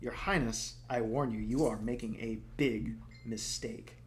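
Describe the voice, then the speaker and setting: A man's voice: medium pitch, steady tone, a little slow, lightly acted. A man acts out a fierce young woman from a storybook, determined and forthright. She speaks with strong feeling and no hesitation. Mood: shaky. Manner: shouting, later wobbly.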